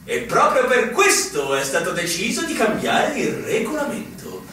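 An actor playing a condescending older army general in a radio play, pompous and patronising, with theatrical drawn-out emphasis. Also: male voice, high voice, sing-song, bright, forceful tone, exaggerated voice. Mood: patronising, amused. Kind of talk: theatrical radio drama acting. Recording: echoey, distant, noisy stage recording.

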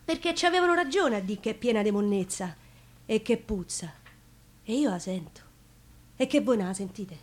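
A woman's voice: medium pitch, lively intonation, moderate pace, long pauses, natural voice. An actress playing an outspoken, devout working-class Roman woman, telling her story in colloquial dialect in a theatre monologue. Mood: indignant, frank. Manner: plain-spoken, colloquial, confiding.

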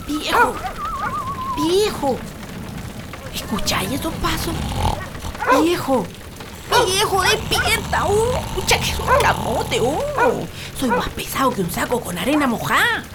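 Radio comedy: high, animated Chilean rural wife and mother played by an actress, nagging and exaggerated. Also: slow, pauses often, very noisy, heavily acted. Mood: alarmed, urgent. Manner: scolding, exaggerated, colloquial. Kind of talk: comic radio drama acting.

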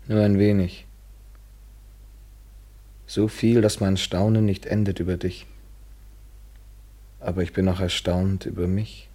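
Radio drama lover, male: very deep, husky, ironic, measured, world-weary but tender. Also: level tone, warm tone. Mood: cool, tender. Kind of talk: literary German radio play acting, 1970s.

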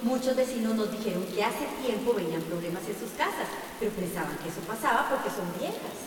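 A woman's voice: medium pitch, animated, a little fast, close to an everyday voice. An actress playing a female official with a Costa Rican accent, firmly calling a noisy meeting to order in a radio drama. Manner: firm, appealing for order. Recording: echoey hall, distant, crowd behind.

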